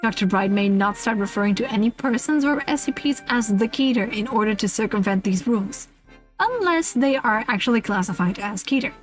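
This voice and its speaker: A woman's voice: a little high, expressive, brisk, soft background music, low-quality recording. A woman streamer reading a list aloud in a casual livestream style, amused, with playful asides.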